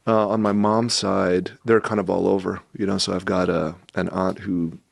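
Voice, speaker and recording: A man who spoke Cantonese first, a guest telling family stories in a relaxed way with many fillers and asides. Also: low voice, moderate pace, gravelly, no echo, studio quality. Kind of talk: casual conversational interview.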